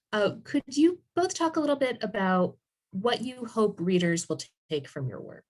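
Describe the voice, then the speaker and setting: A woman's voice: medium pitch, animated, a little slow, darkish tone. A woman moderating a casual author panel over a video call. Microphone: video call microphone.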